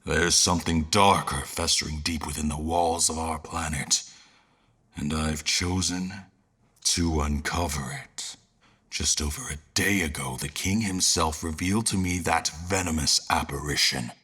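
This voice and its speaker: An actor playing a grave war general, speaking in a solemn, deliberate and theatrical manner in a fantasy audio drama. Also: male voice, a bass voice, steady tone, bright, forceful tone, slightly rough, exaggerated voice. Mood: grave, resolute. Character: grave male war general, devout seeker of a lost dream. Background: music.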